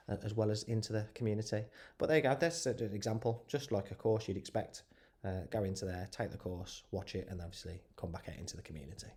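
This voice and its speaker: A man with a head cold and a slightly nasal voice, walking through software on screen in a relaxed way and often correcting himself. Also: fairly low pitch. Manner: relaxed, self-correcting, lots of fillers. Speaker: software tutorial presenter. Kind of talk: screen-share software walkthrough.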